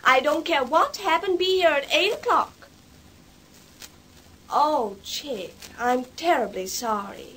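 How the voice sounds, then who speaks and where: An actress playing a harried, bossy young bride-to-be in a vintage radio soap, fretful and exclamatory, with a put-on American accent. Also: female voice, high voice, animated, slow, fairly bright tone, a little hiss or hum, exaggerated voice. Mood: pleading, hurried. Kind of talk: 1940s radio soap acting.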